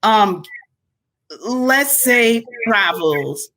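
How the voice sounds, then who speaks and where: A woman's voice: fairly high pitch, animated, extremely slow, fairly bright tone. A woman from Guyana with a Guyanese accent, chatting casually and reflectively as an interview guest.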